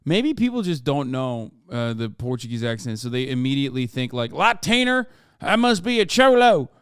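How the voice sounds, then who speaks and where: A man's voice: mid-range voice, very animated, normal speed, a bit bright, smooth, dry recording, studio quality. A man streaming live, reacting to clips and articles in a blunt, profane, self-mocking way.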